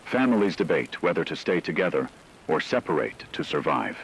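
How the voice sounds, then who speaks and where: A man playing a grave radio reporter, delivering scripted horror news in a solemn, measured, ominous way. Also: low-pitched, sing-song, creaky, a little hiss or hum, full character voice, poor audio.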